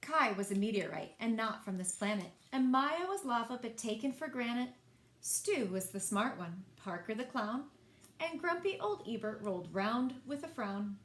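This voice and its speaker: A woman reading picture books aloud in an expressive way, speaking directly to young listeners and asking them questions. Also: a little high, normal speed. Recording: echoey room.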